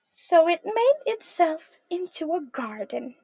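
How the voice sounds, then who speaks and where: A young woman reading a picture book aloud to young children in a sing-song, animated way, calling them her friends. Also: very high voice, slow, no room sound, highs cut off. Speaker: early childhood storyteller.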